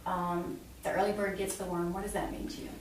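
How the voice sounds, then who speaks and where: A woman's voice: on the low side, level tone, a little fast. A middle-aged woman giving a clinical exam demonstration as a nursing instructor, explaining each step aloud to the patient. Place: small block-walled exam room. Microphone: camera a few feet away. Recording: roomy, distant camera mic, faint noise.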